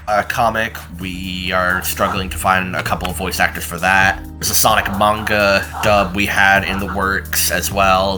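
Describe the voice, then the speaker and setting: A man co-hosting a casual channel update, rambling along in a self-deprecating way. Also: deep voice. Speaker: channel co-host. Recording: music behind the talk. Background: background music.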